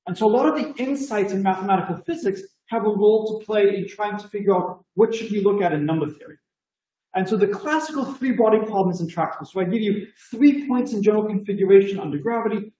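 Boston guy giving a math talk on a video call, high pitch, soft dark tone, lots of "you know". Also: fast, echoey room, low-quality recording. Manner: enthusiastic, conversational, many "you know" fillers. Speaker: mathematics lecturer. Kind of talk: conference talk with slides.

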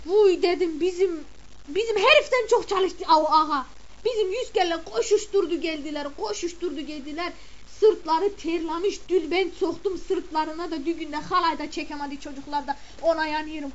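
A woman playing a shrill gossiping village mother. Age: middle-aged. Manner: chatty, exclamatory, scolding. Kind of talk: comic character monologue.

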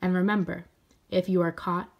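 A woman voicing a gentle, solemn aunt who guides a child in a storybook, soft and measured. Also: on the low side, flat delivery, vocal fry, muffled recording. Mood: soft, instructive.

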